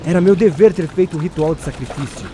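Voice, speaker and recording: An actor playing a kind, brave Aztec village hero in an audio drama, earnest and dramatic. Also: male voice, on the high side, quick pace, noisy background, close to an everyday voice. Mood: anguished, guilty.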